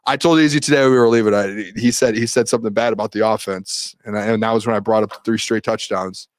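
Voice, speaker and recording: A man's voice: lowish voice, steady tone, fast, no echo. A young man hosting a sports show, rambling through a practice recap and reading viewer comments while losing his voice. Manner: rambling, lots of restarts, reads viewer questions aloud. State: losing his voice, clears throat. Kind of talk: solo live-stream recap, reading chat comments.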